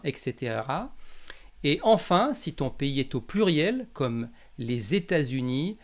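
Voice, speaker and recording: A man from France with a French accent, teaching a language lesson in a didactic way and carefully enunciating example phrases. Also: fairly high pitch.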